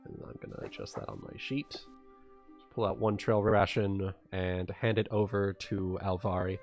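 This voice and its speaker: A man's voice: sits low, lively intonation, moderate pace, creaky, a little mellow, faint music behind. A man chatting casually as a player in a tabletop roleplaying game.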